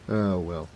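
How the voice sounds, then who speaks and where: An actor playing a jovial, affectionate big 1920s mobster with an American accent, in a folksy, teasing noir audio drama. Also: male voice, sits low, mellow, muffled recording, slight background noise, heavily acted. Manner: folksy, teasing, chatty, chuckling. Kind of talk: noir romance audio roleplay, 1920s gangster slang. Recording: faint rain ambience behind voice. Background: rain.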